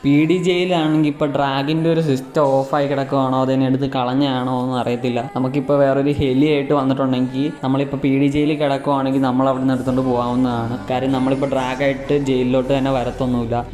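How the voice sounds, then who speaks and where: A man's voice: medium pitch, darkish tone. A man giving a casual, chatty, rambling gaming tips voice-over. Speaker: gaming video creator. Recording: roomy echo with music underneath. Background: music.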